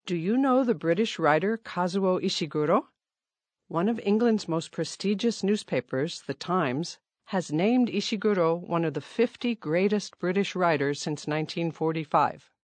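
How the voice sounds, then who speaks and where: A woman reading a listening-test passage aloud in a slow, careful, even scripted narration. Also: lowish voice, no echo.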